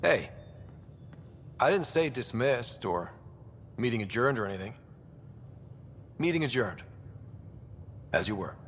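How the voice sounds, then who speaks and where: An actor playing a level-headed young space expedition leader in a video game, steady and informal. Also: male voice, medium pitch, unhurried, long pauses, slight background noise. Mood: composed, decisive. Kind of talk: video game cutscene acting. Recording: long reverb, faint music behind.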